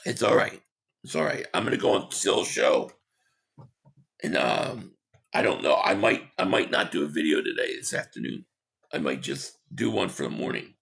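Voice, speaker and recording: A man hosting a sports talk livestream, hoarse and losing his voice, reading chat comments and giving rambling, opinionated takes. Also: a bass voice, lively intonation, moderate pace, a bit bright. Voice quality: hoarse, gravelly. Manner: rambling, opinionated, repeats himself.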